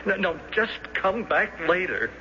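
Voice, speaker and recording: An actor playing a mild, soft-hearted banker and younger brother, earnest and hesitant, in old radio drama style. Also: male voice, high-pitched, animated, measured pace, over loud music. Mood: flustered. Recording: dull, noisy old radio broadcast.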